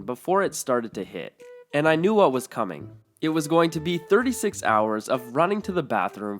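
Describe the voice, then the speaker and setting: A male channel host reads stories aloud with an animated, swinging pitch and an amused edge. Now and then he chuckles mid-sentence. A faint music bed runs under his voice. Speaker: story narrator. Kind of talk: reading online stories aloud.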